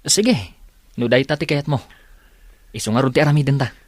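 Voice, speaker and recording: Petty thief sidekick for radio drama male actor: medium pitch, Ilocano accent, eager and jumpy. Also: normal speed, no room sound, natural voice.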